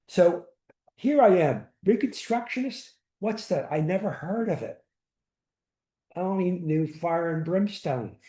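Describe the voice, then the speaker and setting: An older man telling personal stories on a community panel, halting and restarting, sprinkling in Yiddish words. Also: on the high side, warm tone. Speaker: synagogue founder on a panel. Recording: echoey room.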